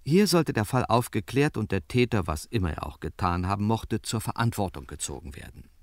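An actor playing a detective's wry assistant, telling the case conversationally as narrator of a radio crime play. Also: male voice, fairly low pitch, animated, brisk, no echo, clean studio sound, close to an everyday voice. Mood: wry, storytelling. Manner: conversational, storytelling.

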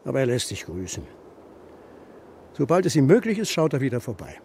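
A man's voice: medium pitch, huge pitch swings, brisk, a little mellow, slight background noise. An actor playing a stern, brusque old grandfather of the steppe in a radio play. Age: older. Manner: brusque, commanding.

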